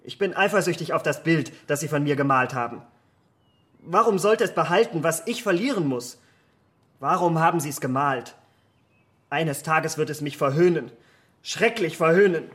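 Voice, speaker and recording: Casting: impetuous, vain young man, radio play, lightish high voice, fervent and melodramatic. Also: animated, normal speed, fairly bright tone. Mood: jealous, anguished. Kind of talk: German radio play acting.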